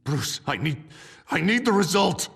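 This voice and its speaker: A man acting an unstable, anguished fallen politician in a video game, with a gravelly voice, swinging between rage and despair. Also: fairly high pitch, sing-song, a little slow, a bit bright, full character voice. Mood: desperate, torn.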